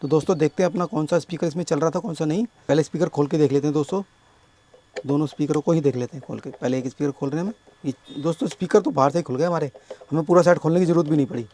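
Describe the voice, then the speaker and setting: A man with a sore, hoarse throat explaining a speaker repair step by step at his workbench, often addressing viewers as friends. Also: on the high side, warm tone. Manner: explains slowly, keeps calling viewers friends. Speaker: electronics repair technician.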